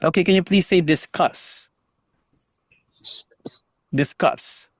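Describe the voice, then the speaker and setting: A man's voice: sits high, slow pace, no echo. A man teaching an online English class over a video call, patiently drilling students on pronunciation and encouraging them.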